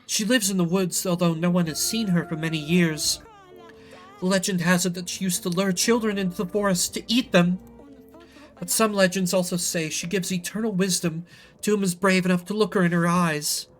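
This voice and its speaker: A man acts out a frightened game villager with an urgent, halting delivery. The storytelling is dramatic and full of dread, with music playing behind it. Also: high voice, fairly even intonation, natural voice. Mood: ominous storytelling. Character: frightened villager, urgently warning of an escaped witch. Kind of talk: acted narrative game dialogue.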